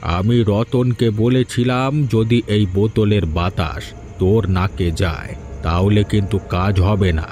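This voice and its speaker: A man's voice: fairly low pitch, steady tone, slow pace, darkish tone. A man voicing a stern village holy man in a cartoon fairy tale, making solemn, prophetic pronouncements. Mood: stern, ominous. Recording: background music under dialogue.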